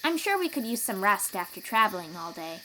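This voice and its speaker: A woman playing a young, protective sea-dweller warrior in an audio roleplay, encouraging and gently teasing as she talks straight to the listener. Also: mid-range voice, big pitch swings, fairly quick, a little hiss or hum, rough recording. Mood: encouraging, instructive.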